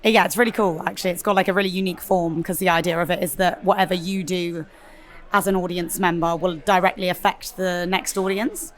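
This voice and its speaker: A young woman with a slightly husky voice, chatting casually about her theatre show with lots of fillers. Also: on the low side, steady tone, a little fast. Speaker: theatre performer. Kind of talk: casual interview chat. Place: festival venue interview.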